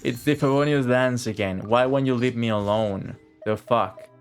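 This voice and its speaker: A man's voice: medium pitch, normal speed, faint music behind. A man streaming a game with casual, rambling commentary, repeating words and swearing now and then. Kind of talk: casual live gameplay commentary.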